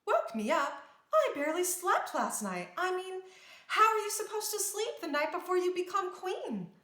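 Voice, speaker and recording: A woman's voice: very high-pitched, very animated, normal speed, sharp tone, pitched above the performer's own voice, heavily acted. A woman voicing a stubborn, eager teenage crown princess in a storybook, speaking emphatically and earnestly. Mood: exasperated, excited. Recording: roomy indoor echo.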